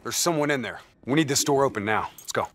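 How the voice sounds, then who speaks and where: An actor playing a blunt, impatient, profane soldier in a military video game, speaking with a commanding manner. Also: male voice, medium pitch, lively intonation, quick pace. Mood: urgent, commanding. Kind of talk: video game cutscene acting. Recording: loud music behind.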